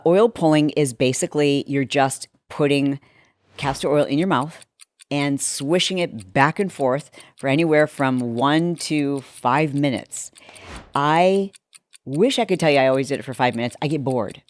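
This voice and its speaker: Low, slightly hoarse woman in her fifties. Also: darkish tone, no room sound, clean studio sound. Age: 50s. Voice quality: deepened, slightly hoarse voice from a vocal cord injury. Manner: candid, conversational, with acted-out asides. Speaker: health and lifestyle show host. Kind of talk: casual chat to viewers, explaining a personal routine.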